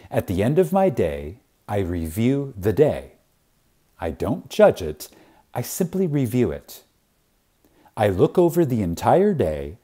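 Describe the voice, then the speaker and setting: A man reading a spiritual lecture like a sermon, reverent and emphatic, with deliberate pauses for effect. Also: on the low side, mellow.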